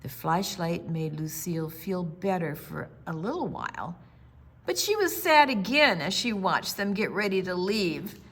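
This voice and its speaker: A woman's voice: on the low side, lively intonation, a little slow. A woman hosting a children's storytime, reading and chatting expressively, drawing out words for young listeners. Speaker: children's storytime host and reader. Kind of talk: expressive read-aloud and friendly chat.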